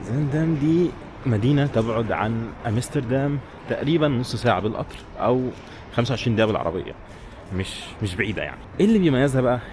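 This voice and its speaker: An Egyptian man speaks very quickly in a mid-pitched voice, chatting informally as he vlogs outdoors. He addresses viewers directly in a casual way, with clear street and traffic noise behind him. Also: expressive. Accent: Egyptian Arabic. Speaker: travel vlogger. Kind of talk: casual vlog chat to camera.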